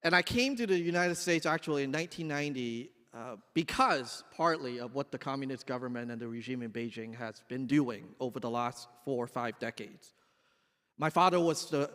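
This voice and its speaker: A man's voice: mid-range voice, fairly bright tone. A man in his forties from Hong Kong giving an earnest, emphatic dinner speech as an activist guest speaker, with some restarts. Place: large banquet hall. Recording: echoey hall with long reverb.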